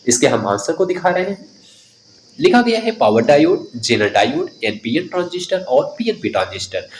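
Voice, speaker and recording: Animated man teaching, higher-pitched voice. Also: slight background noise. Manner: instructive, addresses students directly. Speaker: exam coaching teacher. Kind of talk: quiz-style lesson, reading questions and explaining answers.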